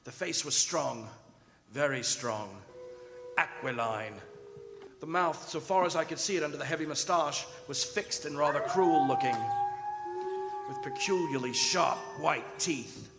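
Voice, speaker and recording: A man acting as an earnest, uneasy young gentleman traveller, narrating his journal in a formal, dramatic radio-theatre style. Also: fairly high pitch, unhurried, music in the background. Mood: mounting dread. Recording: very noisy, echoing live hall recording.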